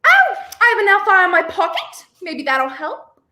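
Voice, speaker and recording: A young woman acts out a feisty, prim young heroine of a 1930s radio thriller. The voice is very high and swoops with emphasis, recorded in an echoing room. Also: huge pitch swings, normal speed, sharp tone, full character voice. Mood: tart. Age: young adult. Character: feisty, indignant young woman, handcuffed unwilling companion. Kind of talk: 1930s radio play acting. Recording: roomy, echoing home recording.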